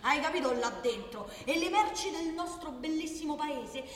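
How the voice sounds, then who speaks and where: A woman's voice: high-pitched, animated, moderate pace, fairly bright tone. An actress playing a feisty working-class woman with a Roman accent, badgering and exasperated, repeating herself in a theatrical radio monologue. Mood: indignant. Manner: exasperated, repetitive, colloquial, badgering. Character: feisty working-class woman arguing for high-speed rail. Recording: distant, echoey hall with noise.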